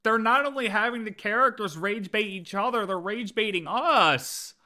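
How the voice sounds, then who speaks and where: A male game streamer reacting casually to what he watches. His voice is bright and fairly high, and his talk is informal and full of slang. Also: moderate pace.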